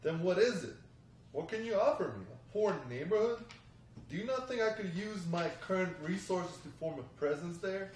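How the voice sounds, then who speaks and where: A stern, menacing gang leader read by a man with a fairly high voice. He talks deliberately and guardedly in a drama table read, off the mic in a reverberant room. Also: expressive, a little mellow, a little hiss or hum. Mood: threatening, skeptical. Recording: echoey room, distant mic.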